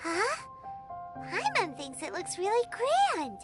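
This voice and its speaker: A woman playing a tiny, eager fairy girl companion in a video game, with a squeaky, childlike, perky voice. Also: wildly swooping pitch, slow, heavily acted. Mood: curious, amazed. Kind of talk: cartoonish video game dialogue. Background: loud background music.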